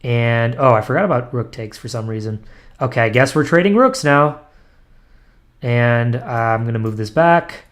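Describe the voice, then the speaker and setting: A man's voice: mid-range voice, moderate pace. A young man thinking aloud as he plays blitz chess online, muttering moves and self-deprecating asides with frequent ums and restarts. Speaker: chess streamer.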